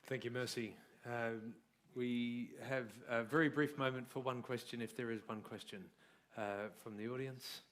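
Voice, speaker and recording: A man with a voice of ordinary pitch chairs a conference session. He thanks the speaker and makes short, polite announcements, pausing with ums. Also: steady tone, fairly bright tone, a little hiss or hum. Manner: polite, brief announcements with fillers.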